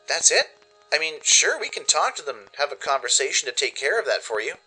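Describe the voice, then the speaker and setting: A man's voice: fairly high pitch, sing-song, fairly quick, pushed and bright, breathy edge, soft background music, pitched above the performer's own voice, exaggerated voice, rough recording. A man voicing a cute, eager young female pony in a radio play. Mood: surprised, agreeable.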